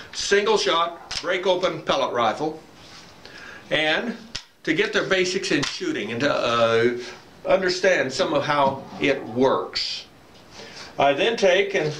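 Older man with a bright, echoey voice. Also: on the high side. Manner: explanatory, repeats key points. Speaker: firearms instructor. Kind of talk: informal instructional talk to camera. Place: dim wooden barn or shed, indoors. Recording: echoey room, faint background noise.

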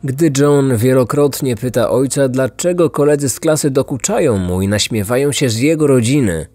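A man's voice: mid-range voice, moderate pace, mellow, no echo. Male true-crime narrator over faint music. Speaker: podcast host and narrator.

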